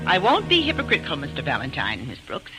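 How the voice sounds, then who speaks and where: A woman's voice: deep voice, wildly swooping pitch, fast, loud music behind the voice. An actress playing a bitter, domineering older mother-in-law with haughty, theatrical delivery in an old radio drama. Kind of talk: 1940s-50s radio acting. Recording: old noisy radio recording.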